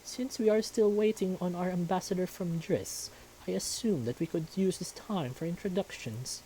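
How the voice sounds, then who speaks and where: A man voicing a stately furry animal king who is fatherly, calm but stern, with formal, measured, commanding speech. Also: high voice, slight background noise, voice pushed up, lightly acted, rough recording. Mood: gracious.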